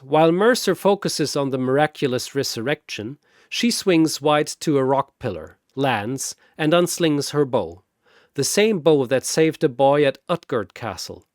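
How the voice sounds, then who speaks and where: A man's voice: mid-range voice, no echo, studio quality. A man narrating a dramatic battle story in vivid, play-by-play fashion.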